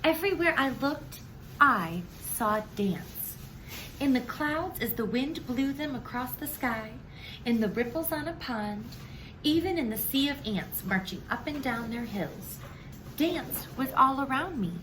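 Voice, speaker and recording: A woman's voice: high voice, animated, measured pace, background noise. A woman reading a children's storybook aloud expressively, with dramatic, emphatic phrasing.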